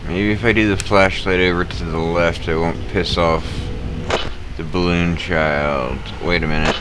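A man giving casual gameplay commentary, muttering and swearing with sudden yells at the game. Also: sits low, fairly even intonation, measured pace, background noise. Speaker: gaming video creator. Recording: loud game music behind the voice.

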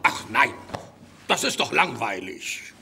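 An actor playing an opinionated, excitable village blacksmith in an emphatic children's audio drama style. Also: male voice, mid-range voice, slow, creaky, heavy background noise, full character voice. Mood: insistent. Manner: emphatic, animated.

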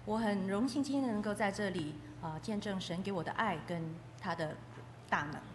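A woman's voice: medium pitch, fairly quick. A woman interpreting a testimony aloud, phrase by phrase. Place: echoey hall. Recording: echoey hall with faint noise.

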